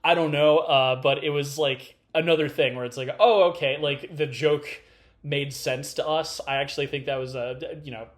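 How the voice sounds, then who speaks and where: A man's voice: a little high, normal speed. A man with a Californian accent chatting casually as a podcast co-host, full of fillers and restarts. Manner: rambling, filler-heavy, animated. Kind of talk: casual conversation.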